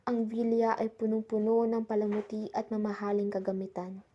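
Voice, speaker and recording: A woman's voice: mid-range voice, completely flat delivery, normal speed, mellow, lo-fi sound, natural voice. A young woman playing the narrator who recounts a frightening island trip in a scripted radio drama. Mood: uneasy storytelling. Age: young adult.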